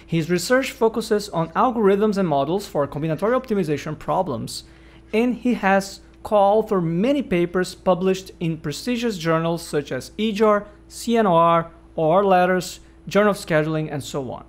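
High-voiced male podcast host. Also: normal speed. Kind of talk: interview host, reading the intro. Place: home office.

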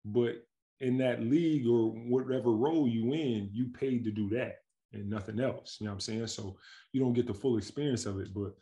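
A man from Milwaukee with a gravelly, fry-tinged voice talks about his life in basketball. He is in his thirties and speaks in a loose, slangy way, often breaking into laughter. He is on a video call from his home. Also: mid-range voice, moderate pace. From Milwaukee, Wisconsin, USA. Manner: relaxed, slangy, animated storytelling with frequent laughs. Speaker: guest, pro basketball player. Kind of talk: casual interview chat. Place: home with staircase behind, indoors. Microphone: video call webcam.

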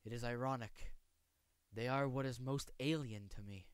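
A man voicing a polite, aloof young swordsman from a manga, with formal, measured, precise speech. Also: mid-range voice, clean tone, no room sound, lightly acted. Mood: cool, composed. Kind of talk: amateur voice acting showcase of manga lines.

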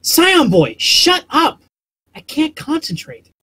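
Woman acting cheeky excitable young anime wife. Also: high voice, slow, exaggerated voice. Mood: irritated. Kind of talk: comic dub voice acting.

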